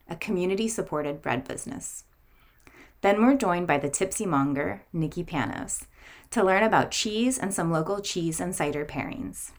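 A woman speaking as the host of a community radio show, introducing segments and interviewing guests. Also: lowish voice.

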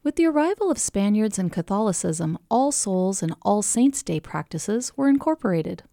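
Creaky, mellow woman narrator. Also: mid-range voice, sing-song, normal speed, no room sound, clean studio sound. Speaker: podcast host. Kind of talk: scripted explanatory narration.

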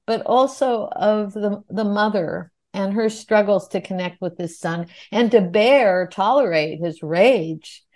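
A woman's voice: mid-range voice, slow pace, few pauses, darkish tone. A woman interviewing a filmmaker, speaking in long, articulate, reflective sentences. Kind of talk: thoughtful interview questions.

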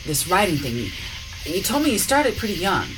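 A woman's voice: on the low side, very animated, a little fast. A woman interviewing, asking short prompting questions from off the mic. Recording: noisy, voice off-mic.

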